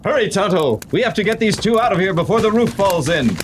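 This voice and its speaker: A man's voice: high voice, expressive, quick pace, background noise. A man playing a brave masked western hero in a radio drama, earnest and authoritative. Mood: commanding.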